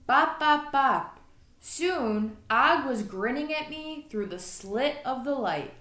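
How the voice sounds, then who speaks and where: A woman's voice: high voice, expressive, slow pace, pushed and bright. A woman reading a children's story aloud expressively, like an elementary school teacher. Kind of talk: storybook read-aloud narration. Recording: echoey room.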